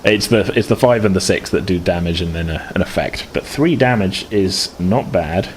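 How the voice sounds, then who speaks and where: A man's voice: deep voice, moderate pace, slight background noise. A man acting as game master, describing scenes and rules in a loose, rambling way with many restarts. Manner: rambling, lots of fillers and restarts. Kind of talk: narrating a tabletop game, casual.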